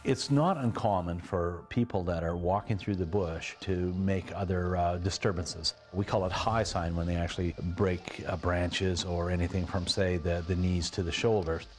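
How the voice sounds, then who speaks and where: Low-voiced man explaining in an interview. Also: fairly even intonation, moderate pace, hardly any pauses. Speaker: search and rescue tracking expert.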